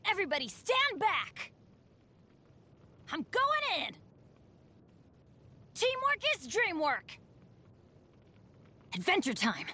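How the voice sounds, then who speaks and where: High-voiced plucky boy adventurer. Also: huge pitch swings, a little slow, long pauses, sharp tone, full character voice. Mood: eager, excited. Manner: earnest, exclamatory. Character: upbeat, unlucky teenage boy adventurer, plucky and earnest. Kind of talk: video game character voice lines.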